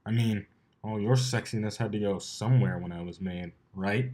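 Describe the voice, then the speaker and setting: A man's voice: on the low side, moderate pace, dark tone, close to an everyday voice. Man as a cocky, grumbling pegasus stallion. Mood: skeptical, cocky. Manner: casual, sarcastic.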